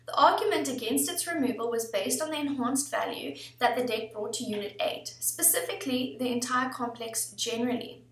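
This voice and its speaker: A woman in her thirties presenting a scripted legal explainer in a steady, formal reading manner, recorded at a desk webcam in an office. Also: a little high, far from the mic. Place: office room indoors. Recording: echoey room, voice somewhat off-mic.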